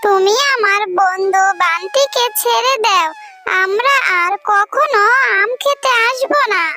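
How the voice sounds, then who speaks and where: A woman's voice: extremely high pitch, a little slow. A woman voicing a kind, earnest young girl animal who pleads, in a children's cartoon style. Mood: earnest pleading. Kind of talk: Bengali children's cartoon voice acting.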